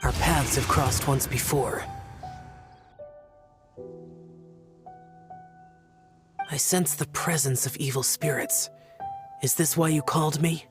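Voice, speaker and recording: A man's voice: fairly high pitch, fairly even intonation, normal speed, music in the background, close to an everyday voice. A man voicing a solemn, aloof immortal guardian in an anime-style video game, with a grave, formal manner.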